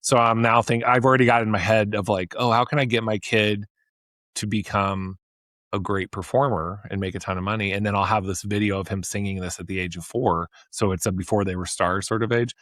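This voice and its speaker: A man's voice: on the low side, fairly even intonation, brisk, a bit bright, no echo, studio quality. A man in his thirties co-hosting a podcast, talking in an enthusiastic, rambling way with many restarts. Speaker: podcast co-host. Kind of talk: casual chat.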